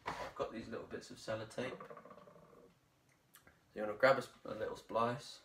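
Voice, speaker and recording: A young man giving a casual hands-on tutorial, talking haltingly as he works with his hands. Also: on the low side, flat delivery. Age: young adult. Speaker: tutorial presenter. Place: small home bedroom at a desk, indoors. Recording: noisy room echo with clicks.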